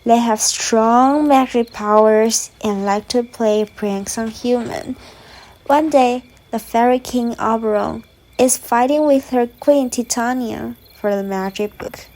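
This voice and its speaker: A woman's voice: fairly high pitch, takes it slowly, darkish tone, low-quality recording. A woman narrating a radio drama from a script.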